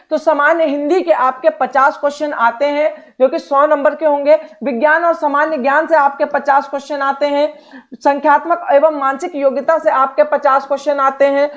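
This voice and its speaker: The recording is a little dull and lo-fi. A woman speaks in a clearly high-pitched voice. She teaches in a direct, explanatory style, addressing students as an exam coach. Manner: direct, instructional, repeats check phrases. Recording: dull, lo-fi sound.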